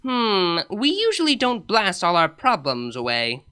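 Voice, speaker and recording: A woman's voice: medium pitch, huge pitch swings, unhurried, bright, forceful tone, clear, resonant tone, pitched above the performer's own voice, full character voice. A woman voicing a smug younger-sister pony princess in a comic storybook, teasing and self-satisfied, with a dry manner.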